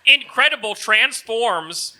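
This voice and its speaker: Male comedy co-host, forceful bright voice, animated range, snarky script-reading delivery. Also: extremely high pitch, takes it slowly, low-quality recording. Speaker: comedy show co-host and performer.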